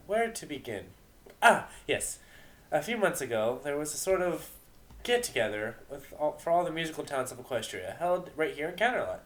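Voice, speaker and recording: An ancient moon goddess mare played by a man tells her tale straight to the listener. She is grand and theatrical, yet easily flustered and chatty, correcting herself as she goes in storybook fashion. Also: fairly high pitch. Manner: theatrical, self-correcting, addresses the listener directly. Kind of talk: dramatic storytelling reading.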